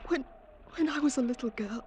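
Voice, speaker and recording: A woman's voice: high-pitched, a little fast, natural voice. A woman playing a tearful but determined young woman in love, in 1940s radio melodrama style. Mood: tearful, resolute. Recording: old radio broadcast, faint noise.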